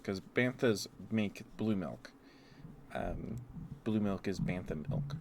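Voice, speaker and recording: Low-voiced man rambling on an ASMR stream. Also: slow, background noise. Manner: meandering, often chuckling to himself. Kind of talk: rambling ASMR livestream chat.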